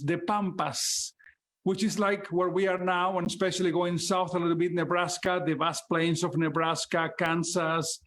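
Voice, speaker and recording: Slow-speaking Argentine man, higher voice. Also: a bit bright. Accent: Argentine Spanish. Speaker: poet and translator. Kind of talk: open mic poetry reading.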